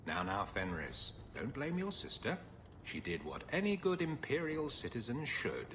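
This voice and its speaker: An actor playing a smug, menacing aristocratic slave master in a video game, with a silky, condescending, taunting manner. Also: male voice, mid-range voice, wildly swooping pitch, normal speed, a bit bright. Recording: clear background noise.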